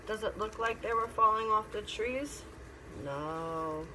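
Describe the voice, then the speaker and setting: A middle-aged woman reads a picture book aloud as a teacher, pausing to explain and ask simple questions. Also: medium pitch, pushed and bright, poor audio. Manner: instructive, asking simple questions. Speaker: teacher reading to children. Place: classroom. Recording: clear background noise.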